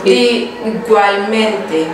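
A young woman in a short practice sketch, saying simple beginner phrases slowly and carefully. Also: medium pitch, crowd noise. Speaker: dialogue partner in a short sketch. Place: bright indoor room. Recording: echoey room, distant mic.

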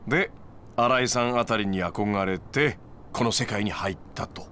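A man's voice: fairly low pitch, slow, sharp tone, slight background noise. An actor playing a smug, laid-back male moneylender in his thirties, lecturing gangsters in a glib, needling way in a game cutscene. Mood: probing, amused. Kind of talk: video game cutscene acting.

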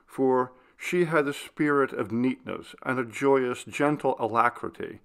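A man narrating a classic gothic story as an audiobook, in a measured, expressive literary manner. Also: mid-range voice, gravelly.

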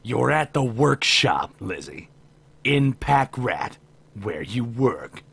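A man playing a gruff, exasperated but friendly young workshop boss in a visual novel, with a gravelly, husky voice. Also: medium pitch, big pitch swings, slow, fairly bright tone, heavily acted. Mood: wry, friendly. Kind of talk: visual novel character acting.

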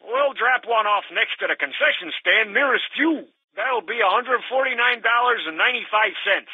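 A man's voice: high voice, expressive, normal speed, slightly rough, no room sound. A man voicing a cheesy, irritable small-time video game company boss faking a voicemail recording, in a hammy comedic cartoon style through a telephone filter. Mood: offhand, resigned. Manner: salesy, hammy, easily exasperated. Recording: tinny narrow phone-like line.

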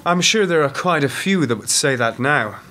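A man playing a dry, measured, self-deprecating former intelligence worker turned author in a radio play. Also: a little high, lively intonation, bright, forceful tone, slight background noise. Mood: cynical, measured. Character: wry, world-weary male author and former intelligence worker. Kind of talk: radio drama dialogue.

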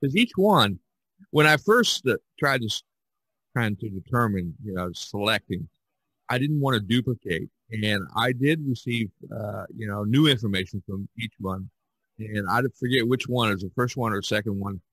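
A man's voice: on the low side, bright, forceful tone, dry recording, poor audio. A man hosting a casual podcast discussion, rambling and often searching for his words. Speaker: podcast host. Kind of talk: casual discussion of art history.

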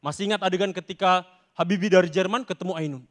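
A young man delivers stand-up on a stage with a Makassar Indonesian accent. His voice sits high for a man, and he tells his stories with emphatic, theatrical punch. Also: fast. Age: young adult. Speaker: stand-up comedy contestant. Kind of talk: stand-up comedy performance. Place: large TV studio stage with audience. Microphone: handheld microphone.

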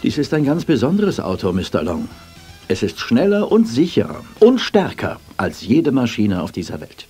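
A formal, skeptical gentleman talks haughtily in a radio play. His mid-pitched voice has animated intonation, with faint music behind it. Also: moderate pace, breathy edge. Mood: haughty, instructive. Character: formal, skeptical, dry gentleman project manager.

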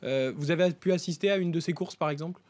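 A man hosting a lively live radio show from a studio. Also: fairly high pitch, fast talker. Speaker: radio host. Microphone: studio desk microphone.

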